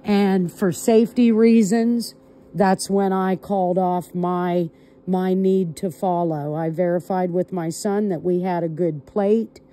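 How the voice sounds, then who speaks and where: A woman caller on a recorded call, recounting events to law enforcement step by step in a deliberate, emphatic manner. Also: lowish voice, steady tone, warm tone.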